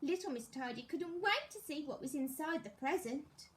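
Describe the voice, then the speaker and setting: A woman teacher reading a children's story aloud, expressively and deliberately, as if to young pupils. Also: high-pitched, expressive.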